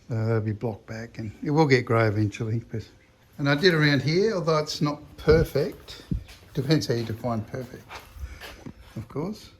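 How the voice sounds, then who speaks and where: An Australian man with a croaky voice talking casually about his restoration work, rambling and chatty with a self-deprecating humour. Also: mid-range voice, slight background noise. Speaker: car restoration vlogger. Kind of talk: casual workshop commentary. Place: home shed.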